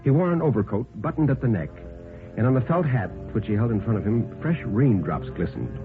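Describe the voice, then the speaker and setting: A man narrating a scripted 1950s radio horror story in a dramatic, deliberate manner. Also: medium pitch, very animated. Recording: tinny, narrow-band 1950s radio recording. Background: background music.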